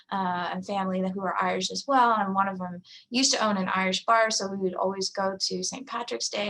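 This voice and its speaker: A woman's voice: mid-range voice, gravelly, fairly bright tone, rough recording. A woman in her forties presenting a talk in a conversational way, with plenty of fillers and asides. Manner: conversational, many fillers and "you know". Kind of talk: conference talk.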